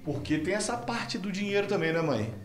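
A man in his thirties works as a field reporter, teasing his guests with upbeat, leading questions. His pitch leaps around animatedly. He speaks into a handheld mic in a small, echoey kitchen with music underneath. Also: sing-song, a little fast. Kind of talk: playful on-location TV interview. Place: small home kitchen indoors.

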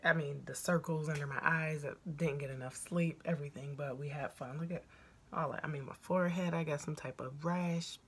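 A woman in her thirties with a raspy voice, chatting frankly through a product review, filmed on a phone at arm's length in a small room. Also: deep voice, steady tone. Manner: chatty, frank, full of "um" pauses. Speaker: beauty vlogger.

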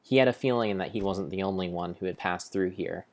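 A young man narrating gameplay as a solemn, deliberate, theatrical story. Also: low-pitched, darkish tone. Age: young adult. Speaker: game streamer. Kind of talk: dramatic storybook-style narration over gameplay.

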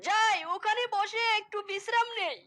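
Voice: very high voice, fairly even intonation, normal speed, very noisy. A performer voicing a whiny, melodramatic young male fox in a cartoon, wailing and lamenting theatrically. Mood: wailing panic. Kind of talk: cartoon voice acting.

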